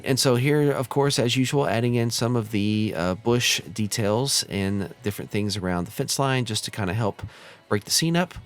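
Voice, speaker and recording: An American man with a slightly hoarse, stuffed-up voice from a cold, giving easygoing, casual tutorial commentary as a channel host. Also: lowish voice. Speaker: host of a model railroad simulator channel.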